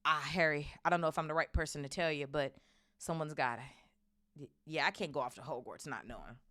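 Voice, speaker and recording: A storybook giant of a groundskeeper, acted out by a woman, gruff, loyal and full of feeling. He blusters and stumbles in a folksy way. The sound is bright and forceful, recorded close and dry. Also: deep voice, fairly quick, dry recording. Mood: anxious, halting.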